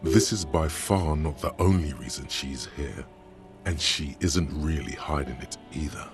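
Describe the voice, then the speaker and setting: Extremely deep inner voice of a detective, knowing, measured game narration, music clearly behind. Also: male voice.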